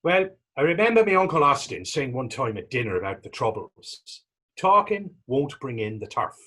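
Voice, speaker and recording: A man playing Tommy, a talkative, gentle and wry Irish former fisherman, in staged readers theater dialogue with a folksy, halting storytelling lilt. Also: mid-range voice, sing-song, breathy edge, heavily acted. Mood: confiding.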